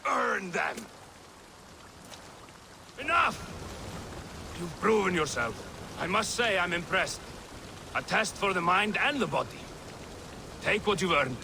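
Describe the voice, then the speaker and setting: Game brawler: boastful Norse bruiser, slow, wildly swinging delivery, taunting, faint rain behind. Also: male voice, sits high, big pitch swings, vocal fry, background noise, heavily acted. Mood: gruffly impressed. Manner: taunting, blustering, declamatory. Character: brash boastful Norse brawler, swaggering and thin-skinned.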